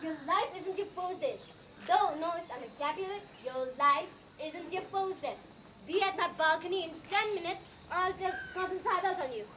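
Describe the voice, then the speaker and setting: Girl acting bossy impatient young woman in a stage comedy, super high voice, distant noisy recording. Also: normal speed, fairly bright tone. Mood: demanding, theatrical. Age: young adult. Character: bossy, impatient young woman pushing a Shakespeare parody along. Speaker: student actor in a school play. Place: school stage, recorded from afar.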